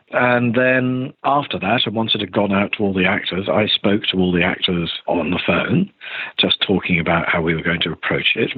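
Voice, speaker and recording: Low husky older man on tinny line. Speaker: theatre director being interviewed. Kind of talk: conversational interview answers. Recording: tinny phone-like line, roomy echo.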